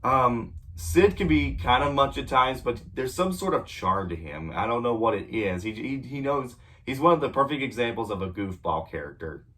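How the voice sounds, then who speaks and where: A man's voice: medium pitch, expressive, moderate pace, hardly any pauses, sharp tone. Young man with a cold, distant mic. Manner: rambling, frequent ums and self-corrections, clears throat often. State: sick with a cold, voice a bit off. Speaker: movie reviewer. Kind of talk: casual movie review vlog.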